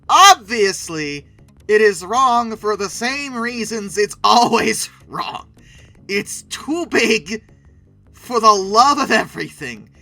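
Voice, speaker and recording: Bright, animated, sardonic video commentator. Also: medium pitch. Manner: sarcastic, emphatic. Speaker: video host. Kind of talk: casual scripted-style video commentary.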